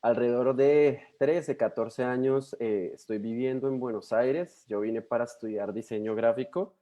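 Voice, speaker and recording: A young man with a Colombian accent speaks to a congregation over a video call. His voice is mellow and relaxed, with a middle pitch. He talks in a friendly, chatty way, full of fillers and restarts. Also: moderate pace. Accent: Colombian Spanish. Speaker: missionary guest speaker. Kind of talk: warm personal introduction to a church.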